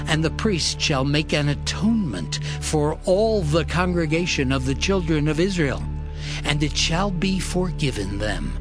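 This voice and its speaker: A man playing a solemn lawgiver who delivers divine commandments with measured weight in a dramatized scripture reading. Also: a little high.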